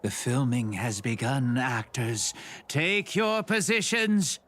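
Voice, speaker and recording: A man's voice: mid-range voice, animated, slow, a bit bright. An actor playing an eerie, theatrical horror-film director with a grand showman's delivery in an anime game. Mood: eerie, commanding.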